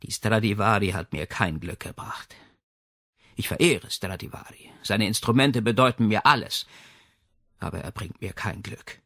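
A man's voice: lowish voice, moderate pace, pushed and bright, dry recording, clean studio sound. A man voicing a haughty, imperious lady with a condescending, commanding way of speaking in an audiobook. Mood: wistful, bitter. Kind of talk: audiobook character dialogue.

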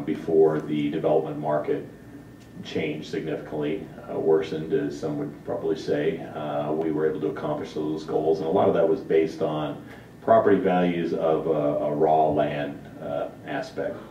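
A man presenting as a city manager, explaining matters in an informal, step-by-step way. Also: mellow. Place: large indoor council chamber. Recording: dull, echoey distant chamber pickup with rumble.

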